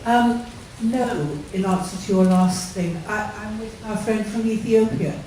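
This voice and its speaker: Loud questioning woman in an echoey hall. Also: mid-range voice, measured pace. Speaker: audience member asking a question. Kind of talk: conference floor question. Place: conference hall. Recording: echoey hall, distant, noisy.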